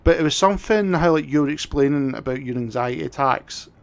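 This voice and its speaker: A man's voice: a little high, fairly quick, gravelly. A man hosting a casual livestream chat, tipsy from drinking, rambling along and swearing freely. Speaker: livestream host.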